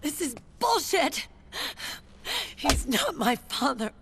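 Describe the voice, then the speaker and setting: An actress playing a tough, hot-tempered young squad commander in a video game, with an American accent and a brusque, bitter edge. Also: female voice, on the low side, normal speed, heavy background noise, natural voice. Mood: outraged. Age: young adult. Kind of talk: cinematic video game voice acting. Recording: loud music behind.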